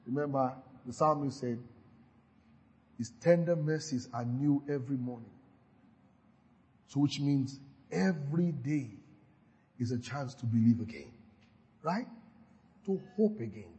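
Husky-voiced pastor exhorting. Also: male voice, medium pitch, expressive, a little slow, long pauses, dark tone, rough recording. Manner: exhorting, repetitive chanting of declarations, frequent hallelujahs. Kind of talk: sermon with call-and-response declarations.

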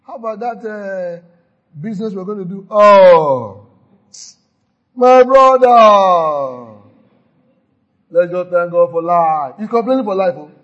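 A man's voice: high voice, lively intonation, takes it slowly, pauses often, a bit bright, dull audio. A man preaching a sermon, exhorting his listeners and leading them in repeated declarations with frequent hallelujahs. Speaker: pastor. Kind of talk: sermon with call-and-response declarations.

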